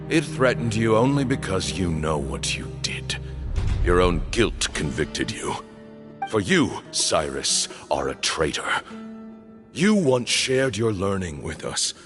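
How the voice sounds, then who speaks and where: An actor playing a stern, ominous old temple leader in a video game, speaking formally and grandly. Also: male voice, on the low side. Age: older. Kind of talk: dramatic video game voice acting. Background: background music.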